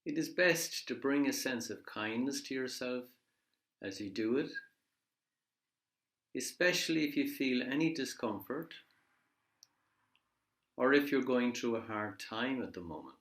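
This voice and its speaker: A man leading a guided body scan meditation in a measured, gently instructive way, leaving pauses between phrases. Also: medium pitch. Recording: echoey room.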